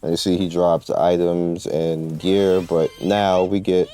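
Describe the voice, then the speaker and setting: A man's voice: low voice, flat delivery, takes it slowly, dark tone. A man giving casual, informal gameplay commentary, rambling through his explanations in slangy language as he guides viewers. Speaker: gamer presenting a game guide.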